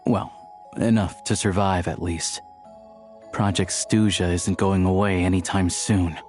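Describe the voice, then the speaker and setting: An actor playing a level-headed, earnest young man in a video game, explaining things in a measured way. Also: low-pitched, flat delivery, darkish tone, soft background music. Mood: grave, explaining.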